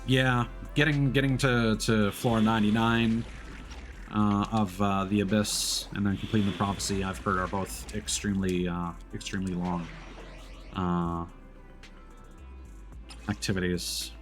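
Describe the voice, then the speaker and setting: Low-voiced man chatting on a game stream. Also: level tone, faint music behind. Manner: halting, thinking aloud. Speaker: livestreamer, gamer. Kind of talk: casual gaming stream commentary.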